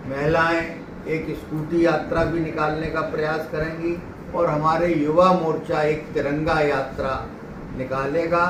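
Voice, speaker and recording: A man giving a political statement to the press, speaking deliberately and oratorically in short phrases. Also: fairly high pitch. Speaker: political party leader. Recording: echoey, distant mic, clear background noise.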